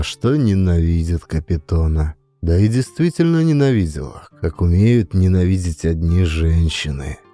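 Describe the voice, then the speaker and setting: A man reads classic fiction as an expressive audiobook narrator. His voice is deep and softly dark, with dramatic phrasing over faint music.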